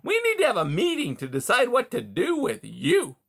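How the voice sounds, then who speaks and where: A man's voice: extremely high pitch, wildly swooping pitch, moderate pace, creaky, fairly bright tone, pitched above the performer's own voice, exaggerated voice. A man reading aloud, voicing a smarmy, hearty male beaver who chatters in folksy salesman sayings.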